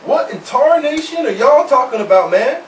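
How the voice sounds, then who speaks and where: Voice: high voice, sing-song, normal speed, distant mic, a little hiss or hum. Someone voicing a drawling folksy sheep. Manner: rural drawl, folksy slang. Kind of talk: amateur family puppet show. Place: indoor room with a puppet stage. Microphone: far camera. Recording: roomy home video from afar.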